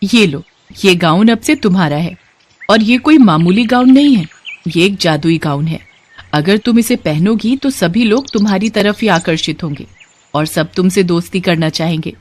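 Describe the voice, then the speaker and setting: A woman voicing a kind, grateful fairy, speaking in a gentle, reassuring and instructive way for a children's story. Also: lowish voice, animated, moderate pace. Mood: kindly instructive. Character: kind, grateful young female fairy granting wishes.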